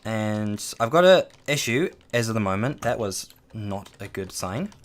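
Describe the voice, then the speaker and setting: A man's voice: fairly low pitch, lively intonation, unhurried. A man streaming a game, chatting casually with lots of ums and trailing asides to his chat, on a desk microphone at home. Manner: rambling, many ums, reads and answers chat.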